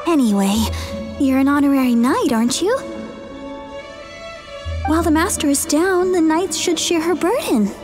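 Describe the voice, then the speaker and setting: Caring young female friend for a video game, high register, gently persuasive and breezy, music bed. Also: expressive, moderate pace, long pauses. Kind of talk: English video game voice acting.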